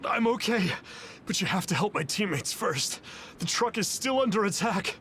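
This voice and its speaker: A high-pitched, gravelly male soldier in a video game drama. He sounds hurt and urgent, forcing out dutiful words with a tense edge. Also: lively intonation, normal speed, sharp tone, a little hiss or hum, full character voice. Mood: pained urgency. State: hurt, strained.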